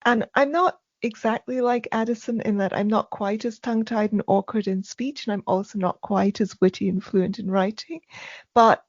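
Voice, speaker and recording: A soft-spoken woman being interviewed, speaking haltingly with many ums and restarts. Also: mid-range voice, fairly even intonation, dry recording, muffled recording. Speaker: podcast guest. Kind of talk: casual interview conversation.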